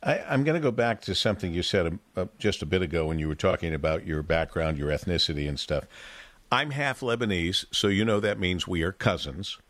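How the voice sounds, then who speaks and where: An older male radio host speaks in a low voice with a lot of rise and fall, pausing deliberately as he leads the interview. The studio sound is close and dry. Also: lively intonation, fairly bright tone, no room sound.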